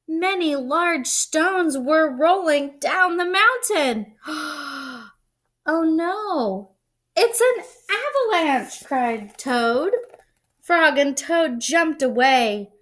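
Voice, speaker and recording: A woman reading a children's picture book aloud, expressively, pausing to explain and put questions to young listeners. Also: sits high, sharp tone. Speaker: read-aloud storyteller and teacher-like reader.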